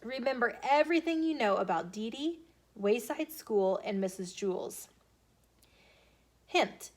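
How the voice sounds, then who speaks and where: A woman hosting storytime, reading aloud to children in an expressive, deliberate storytelling way. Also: on the high side. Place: filmed in a bookstore.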